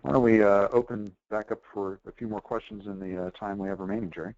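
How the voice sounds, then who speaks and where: Male call presenter, very low register, hesitant delivery, informal, dull phone-conference audio. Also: steady tone, darkish tone, no room sound. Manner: hesitant, halting.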